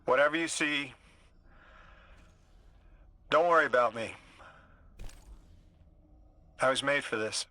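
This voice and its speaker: Earnest, bright-voiced brave companion. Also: male voice, medium pitch, takes it slowly, pauses often. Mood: brave resignation. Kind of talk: dramatic video game acting.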